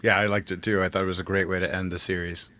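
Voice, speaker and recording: Fairly low-voiced man chatting casually. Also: flat delivery, racing, pushed and bright, no room sound. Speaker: podcast co-host. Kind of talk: casual chat.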